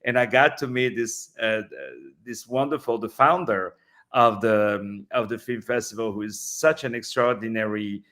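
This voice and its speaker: A man's voice: mid-range voice, fairly even intonation, normal speed, a bit bright. A middle-aged man from France with a French accent, talking in an interview as a film director, effusive and joking, with many fillers. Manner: effusive, joking, talks with his hands.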